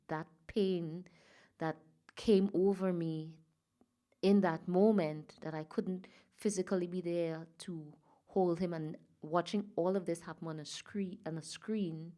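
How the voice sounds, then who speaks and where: A woman from Trinidad and Tobago speaks as a guest in an interview. Her voice is low and mellow. She talks softly and reflectively, pausing often and filling gaps with hesitations. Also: steady tone. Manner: soft, reflective, halting with fillers. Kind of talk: personal interview.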